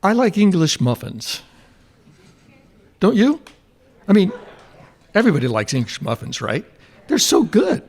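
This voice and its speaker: A man telling a deadpan tall tale on stage in an event hall, chatting with the audience through rhetorical questions. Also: high voice, unhurried, gravelly, pauses often. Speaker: storyteller in a liars contest.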